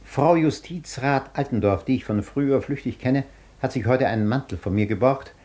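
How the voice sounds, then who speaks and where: An actor in a German radio play, playing an agitated, imperious gentleman doctor with a formal, demanding, impatient manner. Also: medium pitch, moderate pace, natural voice. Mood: discreet, businesslike.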